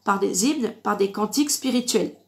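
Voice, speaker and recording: A woman's voice: medium pitch, moderate pace, gravelly, darkish tone. A woman with a congested, blocked-nose voice giving informal Bible teaching on a livestream, didactic and repetitive, punctuating points with amens. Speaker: online preacher. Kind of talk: informal live Bible teaching with verse reading.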